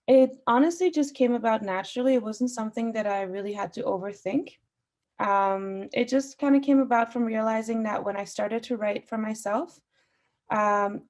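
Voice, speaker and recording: A woman's voice: a little high, a little mellow. A young woman, a musician who grew up speaking Farsi, sharing her views on a panel in a thoughtful way with many fillers. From Austria. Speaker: guest artist. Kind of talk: panel discussion.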